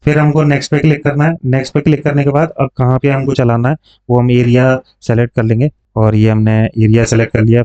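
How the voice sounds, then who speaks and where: A man hosts an informal step-by-step online tutorial in a medium-pitched voice. He explains as he goes, slipping in English terms and frequent little check-in tags. The recording is somewhat dull and lo-fi. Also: mellow. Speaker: online tutorial host, digital marketing coach. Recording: dull lo-fi microphone sound.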